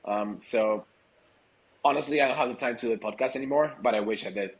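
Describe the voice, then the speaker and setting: A man's voice: mid-range voice, fast. A man from Argentina whose first language is Spanish, chatting casually as an interview guest and feeling for his words in an informal, hesitant way. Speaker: podcast guest, startup growth executive.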